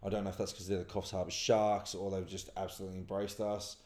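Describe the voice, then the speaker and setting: An Australian man co-hosting a casual sports podcast, husky from yelling at a game, swearing freely. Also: sits low, little pitch movement, fast. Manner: swears freely, laughs a lot. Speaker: podcast co-host. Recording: roomy echo.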